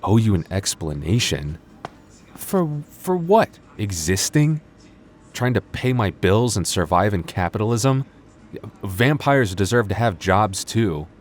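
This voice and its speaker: A man's voice: on the low side, sing-song, unhurried. A man acting a flustered young vampire store clerk in an audio roleplay, stammering nervously and awkwardly. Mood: exasperated, defensive. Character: flustered young male vampire working convenience store night shift. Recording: faint background noise.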